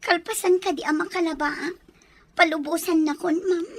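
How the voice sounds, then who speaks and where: A woman's voice: very high voice, fairly even intonation, normal speed, heavy background noise. A woman playing a frightened, meek young captive in an audio drama, pleading deferentially with a Filipino accent. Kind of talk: Ilocano audio drama acting.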